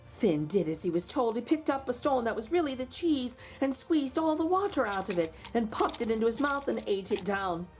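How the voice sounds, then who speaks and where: A woman's voice: on the high side, big pitch swings, normal speed, hardly any pauses, darkish tone, soft background music. A woman storytime host narrating a picture book expressively, with chatty asides to young listeners. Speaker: storytime host reading aloud to children.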